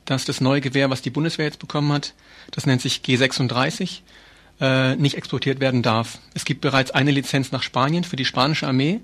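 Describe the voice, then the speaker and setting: A man's voice: mid-range voice, fairly bright tone. A man being interviewed as an activist, explaining things earnestly and informally with hesitant phrasing.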